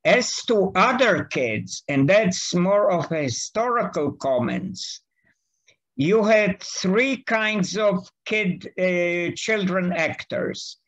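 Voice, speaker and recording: Older Polish-accented man, native Polish, slow careful guest on a muffled video call. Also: high-pitched, animated, fairly bright tone. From Poland. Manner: slow, careful, halting delivery. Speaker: guest speaker. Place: home study with bookshelves. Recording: dull lo-fi video call audio.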